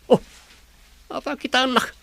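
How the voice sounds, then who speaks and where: A man's voice: normal speed, pushed and bright, close to an everyday voice. A man in a radio drama playing a smug, scheming rich man who is greedy for more wealth.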